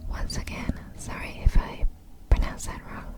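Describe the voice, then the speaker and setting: A woman whispering softly in an ASMR style, reading out facts in short, measured, breathy phrases. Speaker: ASMR video creator.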